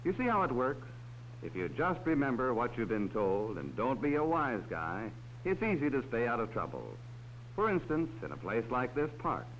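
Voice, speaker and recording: Man, narrator, old safety film, talks down to kids, lively pitch, muffled hissy soundtrack. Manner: talks down to children. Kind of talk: 1940s educational film narration. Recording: dull old film soundtrack with faint hiss.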